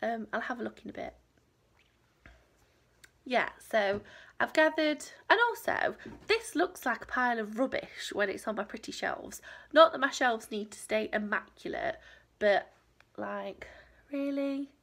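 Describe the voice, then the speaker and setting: A woman's voice: a little high, animated, unhurried, creaky, a bit bright. A woman in her thirties chatting casually to camera in a small home craft room, rambling and self-deprecating, sounding a little nasal from a cold. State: stuffy nose, nasal from a cold. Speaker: crochet vlogger.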